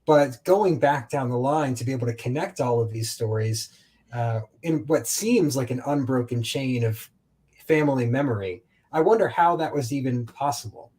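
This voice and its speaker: A man hosting a conversational interview, speaking in a measured, articulate and reflective way. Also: mid-range voice, a little mellow. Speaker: host, interviewer.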